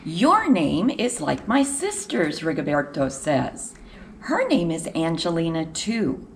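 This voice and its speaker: A woman reading a children's picture book aloud in a measured, expressive storytelling manner with deliberate pauses. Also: low voice, a little hiss or hum.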